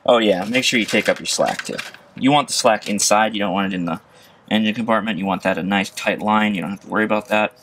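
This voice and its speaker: A man's voice: fairly low pitch, quick pace, a bit bright. Casual DIY guy, noisy outdoor recording. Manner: casual, chuckling, thinking aloud while working. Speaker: DIY presenter. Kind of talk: casual hands-on tutorial. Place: outdoors at an open car. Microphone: camera set near the work. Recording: clear background noise, outdoor ambience.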